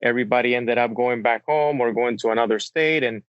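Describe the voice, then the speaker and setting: A man's voice: mid-range voice, a little fast, smooth, dry recording. Man from the Dominican Republic, dull dry sound. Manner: relaxed, many fillers like "uh" and "kinda". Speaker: guest entrepreneur. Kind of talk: casual interview answers.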